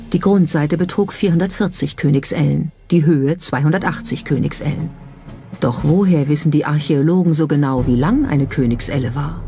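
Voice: mid-range voice, dark tone. A narrator reading a scripted documentary. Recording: music under the voice. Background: background music.

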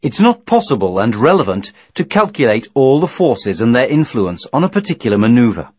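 A man reading scripted instructional narration in a measured, formal, didactic manner. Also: mid-range voice, very animated, darkish tone, no room sound. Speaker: training video narrator.

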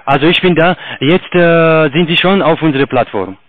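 A call-centre man with a foreign accent talks through a tinny phone connection. His voice sits a little high. He gives step-by-step instructions haltingly, with broken grammar. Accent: foreign accent in German. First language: not German. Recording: narrow, tinny phone line.

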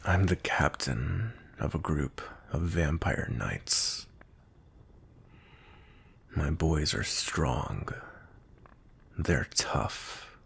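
A man playing a cold, grumpy vampire knight captain in an intimate ASMR roleplay, with a breathy, husky voice and a brooding manner. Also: extremely low pitch, lively intonation, extremely slow, pauses often, fairly bright tone, exaggerated voice. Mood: stern, reserved. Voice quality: breathy, husky, often near whisper.

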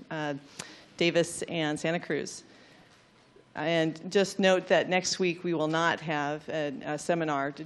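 Woman seminar host, lowish voice, reads the guest intro off notes on a handheld mic. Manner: reads from notes, apologetic, laughs at own slip. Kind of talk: reading a speaker introduction. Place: large lecture auditorium. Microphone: handheld microphone.

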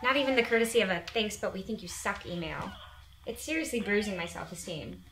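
A woman's voice: mid-range voice, animated, a little fast, natural voice. A young woman playing a weary, sarcastic babysitter in a naturalistic short film, conversational and exasperated. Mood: venting, wry. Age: young adult. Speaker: actress in a short horror film. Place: indoors in a house living area. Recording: echoey room with background noise.

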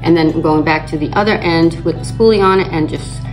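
Calm older woman, slightly raspy. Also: low-pitched, fairly quick, music in the background, low-quality recording. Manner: calm step-by-step explaining. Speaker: beauty channel host. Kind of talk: makeup tutorial narration. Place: indoors in front of a plain backdrop.

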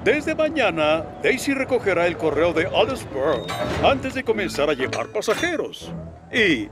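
High, swooping pompous railway boss. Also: male voice, very animated, measured pace. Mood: bossy, brisk. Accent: Latin American Spanish. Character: pompous portly railway director, busy and easily surprised. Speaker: railway director giving orders. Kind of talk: animated children's series dialogue. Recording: music behind the voice.

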